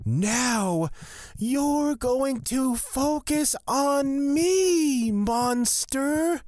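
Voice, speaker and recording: A creepy blood witch from a fantasy audio drama, played by a woman. The voice is high and very slow, deliberate and menacing, with a dry, close sound. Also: animated, a little mellow, no echo, exaggerated voice. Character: creepy, intense blood witch, cleric of fear.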